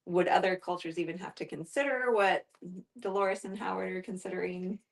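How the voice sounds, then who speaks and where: A woman's voice: fairly low pitch, steady tone, brisk, creaky. A woman in a casual group discussion, tentatively feeling her way through a question.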